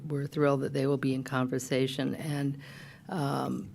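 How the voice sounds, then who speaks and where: Low-voiced woman with creaky fry, welcoming an audience. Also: a little hiss or hum. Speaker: event host giving welcome introduction. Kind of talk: informal spoken welcome to an audience. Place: public event venue.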